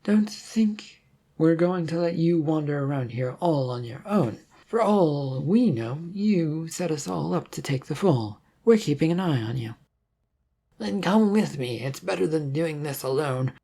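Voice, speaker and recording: A storyteller voicing an anxious, impatient detective in a science fiction mystery, with a husky voice and a pointed manner. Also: mid-range voice, animated, measured pace, mellow, full character voice. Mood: distrustful.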